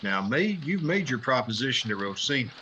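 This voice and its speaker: A man's voice: mid-range voice, very animated, brisk, pushed and bright, highs cut off, slight background noise. An actor with an American accent playing a prickly, cynical newspaperman in a radio drama, dry and sardonic. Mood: cautioning. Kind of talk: 1950s radio drama acting.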